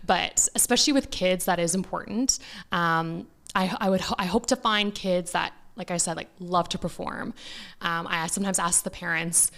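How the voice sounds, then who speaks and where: A Canadian woman with a slightly low voice that often crackles into vocal fry. She talks casually, like a guest in an interview. Her manner is chatty, and she slips into quoting people as she explains things. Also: moderate pace, a bit bright. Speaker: talent agent, interview guest.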